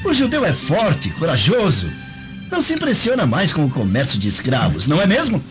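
A man's voice: fairly high pitch, wildly swooping pitch, fairly quick. A man playing an arrogant, mocking young Roman nobleman in a radio drama. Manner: mocking, needling. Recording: dull lo-fi, faint music.

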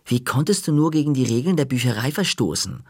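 A man's voice: medium pitch, big pitch swings, fast talker, darkish tone, dry recording, studio quality, pitched above the performer's own voice. A man voicing a cheeky boy who puts on a pious, mock-innocent tone. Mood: mock-scolding.

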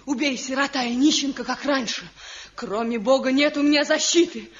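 An actress playing Milkana, a desperate young wife, pleading and tearful in a 1970s radio play. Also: female voice, high-pitched, normal speed, natural voice. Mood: anguished. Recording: dull lo-fi old radio recording.

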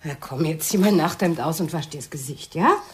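A woman's voice: low voice, lively intonation, brisk, slight background noise. An actress playing a touchy, reproachful wife and fussing mother in a German radio play. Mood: exasperated, motherly.

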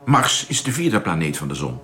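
A man's voice: low voice, big pitch swings, normal speed, pushed and bright, a little hiss or hum, heavily acted. A man acting in a radio drama as a curious, courteous space traveller from Earth who asks many questions.

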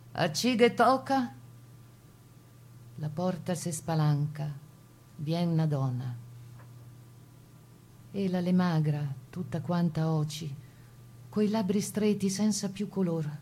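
Husky woman acting as solemn Veneto balladeer. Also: on the low side, a little mellow. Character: poet-narrator of partisan ballad, voicing captor and defiant prisoner. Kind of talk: dramatic recitation of dialect poetry.

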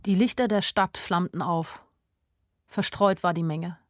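A woman's voice: fairly low pitch, fairly even intonation, unhurried, dry recording, lightly acted. An actress playing a naive, wryly observant young woman, narrating a radio drama in a dry, matter-of-fact way. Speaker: first-person narrator.

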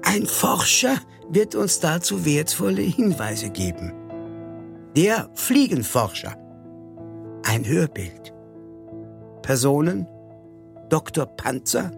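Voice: mid-range voice, vocal fry, exaggerated voice. An announcer formally and mock-solemnly introducing a radio play in a theatrical scripted style. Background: music.

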